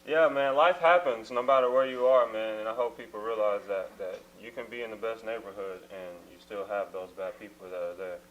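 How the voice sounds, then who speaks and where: A young man from Minnesota, co-hosting a casual church talk show, soft-spoken and trailing off quietly. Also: on the low side, fairly even intonation, a little fast, hardly any pauses, lo-fi sound, slight background noise. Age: young adult. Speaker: co-host.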